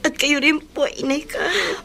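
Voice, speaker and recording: An actress playing a devoted, affectionate young wife in a Filipino radio drama, expressive and homely in manner. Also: female voice, high voice, measured pace, vocal fry, slight background noise, exaggerated voice. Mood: tearful gratitude.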